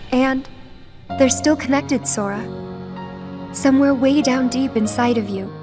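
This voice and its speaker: A gentle teenage girl in a video game, speaking softly in a high, slow voice. Loud music plays under her words throughout. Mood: wistful. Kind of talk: video game cutscene acting.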